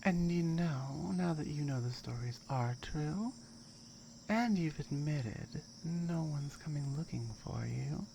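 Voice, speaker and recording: A woman voicing a firm, dominant tiger girl guarding her jungle home in a menacing, deliberate ASMR roleplay. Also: low voice, exaggerated voice. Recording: clear background noise with chirping crickets.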